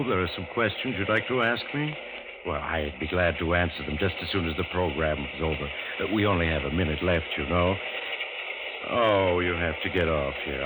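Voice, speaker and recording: A man playing a suave, sly storyteller who hosts a 1940s radio mystery with insinuating courtesy. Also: low voice. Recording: very noisy vintage radio recording.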